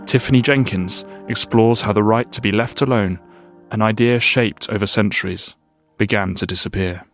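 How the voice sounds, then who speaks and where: Low male announcer over music. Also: moderate pace, fairly bright tone. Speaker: podcast announcer. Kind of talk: scripted introduction.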